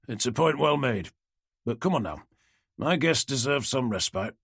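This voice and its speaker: A man's voice: expressive, moderate pace, vocal fry, fairly bright tone, slightly rough, dry recording, studio quality, full character voice. A man voicing a male debate moderator who firmly asks an unruly crowd to settle. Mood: placating. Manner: firm, placating, booming. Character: male debate moderator, booming, trying to keep order.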